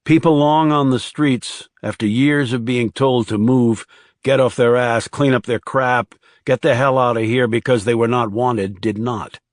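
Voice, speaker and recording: A man's voice: medium pitch, fairly even intonation, a little slow, no echo, studio quality. A man reading scripted thriller narration as an audiobook narrator.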